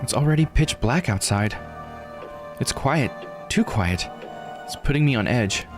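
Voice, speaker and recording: Man putting on an earnest, higher-pitched young game heroine. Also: lively intonation, a little slow, roomy sound, big-room sound, over music, natural voice. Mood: uneasy. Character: young woman heroine, uneasy, determined, unable to die. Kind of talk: dramatic first-person visual novel read-aloud.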